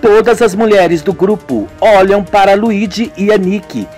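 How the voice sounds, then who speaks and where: A man's voice: high-pitched, measured pace, darkish tone, faint music behind. A man narrating an amateur radio novela with dramatic storytelling. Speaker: narrator and sole voice actor.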